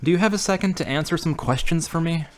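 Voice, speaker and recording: A man's voice: fairly high pitch, fast talker, close to an everyday voice. A man acting a brooding, earnest, troubled character in an audio drama. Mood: troubled, confiding.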